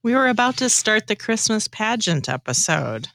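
A woman's voice: mid-range voice, sing-song, moderate pace, creaky, a bit bright, no room sound. A woman co-hosting a podcast, switching between light scripted skits and casual banter. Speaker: podcast co-host.

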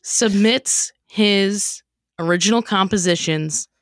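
A woman's voice: mid-range voice, slow, no echo, studio quality. A woman hosting a podcast in a casual, jokey chatting style with theatrical emphasis. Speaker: podcast host. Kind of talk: casual chat, explaining a comedy segment.

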